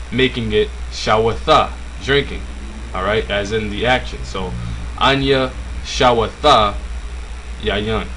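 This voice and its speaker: A man teaching an informal religious language lesson, with a halting, filler-heavy manner. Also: lowish voice, sharp tone, a little hiss or hum, rough recording. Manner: casual, halting, many fillers.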